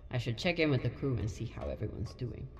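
A woman's voice: very deep voice, very animated, fast, darkish tone, long reverb tail, soft background music. A woman playing a guarded, hard-edged spaceship captain in a video game, with terse, probing delivery. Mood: reflective. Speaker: game protagonist.

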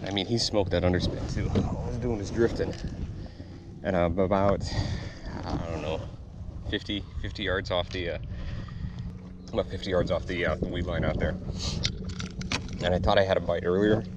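Laid-back guy with a lowish voice talking to himself on a kayak, lots of wind noise on the action cam. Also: fairly even intonation, moderate pace, long pauses, muffled recording. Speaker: fishing vlogger. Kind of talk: casual outdoor vlog commentary. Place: outdoors in a kayak on a lake. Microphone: body-worn action camera. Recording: windy outdoor noise on action camera.

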